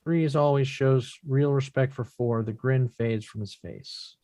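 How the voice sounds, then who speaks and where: Guy reading stage directions at a table read, medium pitch, dry sound. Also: unhurried, warm tone, dry recording. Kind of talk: scripted narration at a table read.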